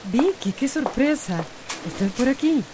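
An actress playing a bold woman hairdresser in a radio drama, soothing and expressive. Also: sits high, a little slow. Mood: surprised, guarded. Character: bold, independent woman hairdresser, calming and reassuring. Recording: clear rain-like noise behind.

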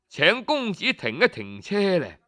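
A man's voice: high voice, wildly swooping pitch, unhurried, sharp tone, full character voice. Man voicing a proud old Cantonese scholar. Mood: self-assured. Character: proud, self-assured elderly male scholar.

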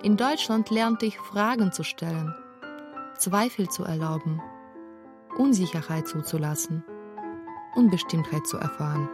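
A woman in her thirties narrates a radio feature in a slightly low voice. The delivery is scripted and reflective, with music clearly behind it. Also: unhurried, long pauses. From former Soviet Union. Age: 30s. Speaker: author and narrator.

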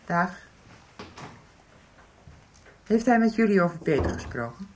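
A woman's voice: medium pitch, lively intonation, fast, roomy sound, lightly acted. An actress playing a worried, insistent middle-aged mother in a radio drama. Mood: firm. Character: worried, insistent mother searching for missing son. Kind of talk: 1990s radio drama acting.

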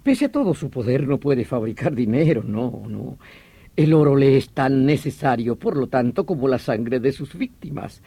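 Vehement, mellow-voiced police detective, swooping emphatically. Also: male voice, medium pitch, expressive, moderate pace, vocal fry, no room sound. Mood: emphatic, insistent. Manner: emphatic, theatrical, explanatory. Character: vehement veteran police detective obsessed with hunting a vampire villain. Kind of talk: radio drama acting.

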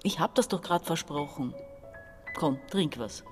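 A woman's voice: low voice, expressive, unhurried, music in the background. An actress playing a domineering, desperate woman of about forty in a radio crime drama, confiding one moment and bossy the next. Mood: weary. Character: domineering, desperate sister around forty, plotting and escapist. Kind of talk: German radio crime drama acting, narration and dialogue.

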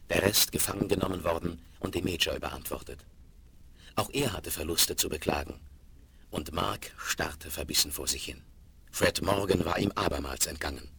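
A man's voice: low-pitched, gravelly, background noise. A male actor as a grave storyteller, narrating a 1970s German western radio play in a solemn, literary style.

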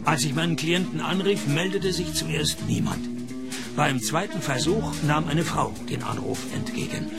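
An actor in a radio play playing a laconic, wisecracking private detective. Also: male voice, on the high side, moderate pace, gravelly, loud music behind the voice. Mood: deadpan narrating. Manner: deadpan, laconic. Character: dry, wisecracking private detective narrating his case. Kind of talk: radio play narration and dialogue.

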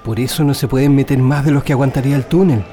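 A man's voice: mid-range voice, fast, warm tone, close to an everyday voice. An actor playing an eager, wry prisoner digging an escape tunnel in a radio drama, savoring his words. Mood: urgent. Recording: long tunnel reverb, music behind.

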